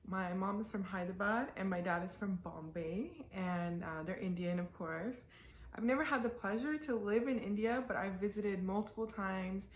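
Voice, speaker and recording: A young woman in her twenties talks to camera in a relaxed Q&A, chatty and giggly with lots of ums and likes. Her voice sits at a middling pitch. The room gives it a noticeable echo. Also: moderate pace. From born in the UAE, raised in Canada. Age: 20s. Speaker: vlogger. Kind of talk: casual Q&A talking to camera. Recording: roomy echo.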